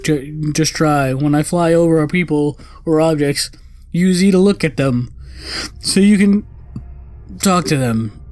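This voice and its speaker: A man's voice: a little high, measured pace, darkish tone, dry recording, lightly acted. A man voicing a small, sassy, bossy female fairy companion, reading out video game dialogue. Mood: bossy, instructing.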